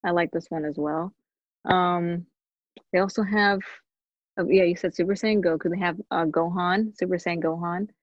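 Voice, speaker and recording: A woman's voice: on the low side, fairly even intonation, moderate pace, no echo, muffled recording. A woman guest on a podcast, chatting in an easygoing, giggly way with lots of fillers. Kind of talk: casual chat.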